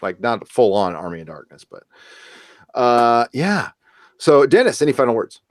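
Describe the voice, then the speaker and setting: A man's voice: mid-range voice, very animated, normal speed, dry recording, clean studio sound. A man hosting a podcast in loose, joking, casual chat and reading out comments. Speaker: podcast host.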